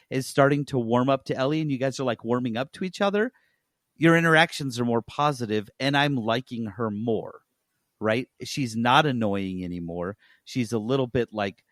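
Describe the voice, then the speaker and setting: A man's voice: medium pitch, no echo. Man in casual chat, dry close sound. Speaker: podcast co-host and game reviewer.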